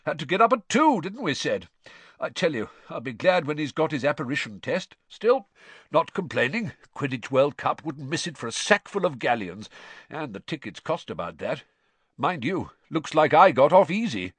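Booming, proud middle-aged wizard dad for a storybook played by a man, exclamatory and jovial, pitched high with big swoops. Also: big pitch swings, moderate pace, fairly bright tone, husky, no room sound, heavily acted. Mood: hearty, good-natured. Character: loud, genial, boastful middle-aged wizard father.

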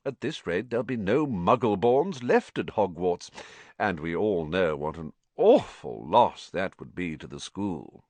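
Man playing a smug, unhurried sneering aristocrat. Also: on the low side, big pitch swings, no room sound, voice pushed up. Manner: unhurried, smug, oily politeness. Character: sneering, smooth, lazily menacing aristocratic man. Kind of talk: audiobook character acting.

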